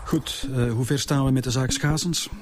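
An actor playing a stern, demanding police director with a Flemish accent in a radio drama, curt and authoritative. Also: male voice, mid-range voice, fairly even intonation, moderate pace, noisy background, natural voice. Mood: commanding.

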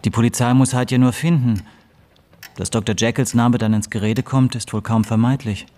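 An actor playing a deferential, astute middle-aged law clerk, polite, precise and discreet, in a radio play. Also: male voice, mid-range voice, steady tone, normal speed, dark tone, close to an everyday voice. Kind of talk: German radio play dialogue.

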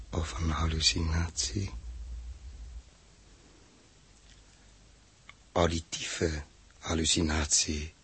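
A man's voice: a bass voice, bright, forceful tone, breathy edge, heavily acted. A man playing a pensive, wary astronaut, murmuring to himself in a 1960s radio drama. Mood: musing. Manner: musing, murmured soliloquy. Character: pensive, wary male astronaut on an alien planet. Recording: 1960s radio recording with music.

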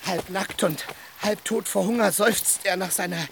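An actor playing an excitable, naive and loyal squire in a German radio drama. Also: male voice, high-pitched, normal speed, vocal fry, noisy background. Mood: agitated.

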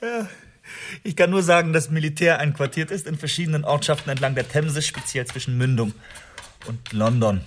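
An actor playing a defiant, cornered English spy in a historical radio play, husky and reluctant, with irritated evasiveness. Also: male voice, on the high side, normal speed, background noise, lightly acted. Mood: reluctant, defensive.

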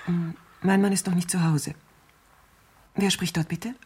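An actress playing a nervous, demanding wealthy invalid wife, imperious and self-pitying, in a 1970s radio crime drama. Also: female voice, on the low side, steady tone, unhurried, darkish tone, breathy edge. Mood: guarded, polite.

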